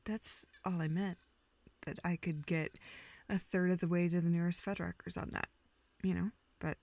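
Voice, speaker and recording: A woman playing a young woman in an intimate audio drama, with a lowish voice full of vocal fry. She talks slowly and haltingly to a close friend, joking at her own expense in a dry, deadpan way. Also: slight background noise. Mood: dry, wry. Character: self-deprecating, dryly sarcastic young woman confiding in a close friend. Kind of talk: intimate audio roleplay, naturalistic acting.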